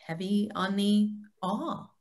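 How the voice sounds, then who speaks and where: A playful, all-present God played by a woman speaks slowly and cryptically, with dry teasing. The pitch sits in the middle, in a comic reader's theatre style. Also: a little mellow, lightly acted. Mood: wry, teasing. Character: God on a video call, wry and playful. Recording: video call reader's theatre.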